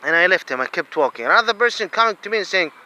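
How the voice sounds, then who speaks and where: A man with a Saudi Arabian accent rants in a monologue to camera. His voice sits high for a man and sounds very sharp and tense. He drops to low muttering, then bursts out loudly. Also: expressive, rapid-fire, rough recording. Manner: agitated, swings between low muttering and loud outbursts.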